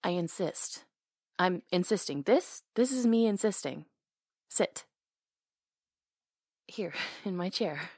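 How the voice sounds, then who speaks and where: A woman's voice: fairly low pitch, animated, slow pace, pauses often, darkish tone, slightly rough, dry recording, clean studio sound. A woman acting in an intimate ASMR roleplay as a hair stylist, talking casually in a caring, teasing way.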